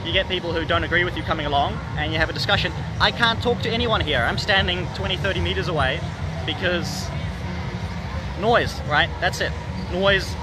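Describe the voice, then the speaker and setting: Hoarse male livestreamer, rambling and exasperated, voice sitting a bit high, phone-mic sound. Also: brisk, heavy background noise. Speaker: livestreaming editor at a rally. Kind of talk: casual live commentary to camera. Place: outdoor city square at a rally. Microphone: phone camera livestream. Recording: loud crowd and music, phone mic outdoors. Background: loud crowd shouting and music.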